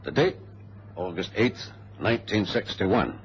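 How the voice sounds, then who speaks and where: A man playing a passenger who gravely narrates a radio drama, heard on a muffled old recording. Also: fairly low pitch, expressive, measured pace, slight background noise. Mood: grave recounting. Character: male passenger gravely recounting a hijacked flight.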